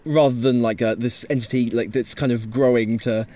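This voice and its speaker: A man's voice: mid-range voice, fairly even intonation, normal speed, warm tone. A man chatting as a podcast panellist, rambling and often hesitating and correcting himself. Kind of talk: casual discussion podcast talk.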